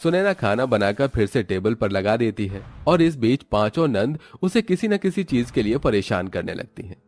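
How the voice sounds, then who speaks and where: A man's voice: mid-range voice, big pitch swings, moderate pace, a little mellow. A man narrating a scripted moral story as its storyteller.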